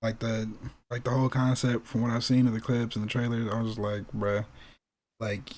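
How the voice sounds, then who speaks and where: American man chatting casually, medium pitch. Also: little pitch movement, a little fast, darkish tone. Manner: slangy, repeats phrases for emphasis. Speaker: podcast co-host. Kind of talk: casual chat about games and movies.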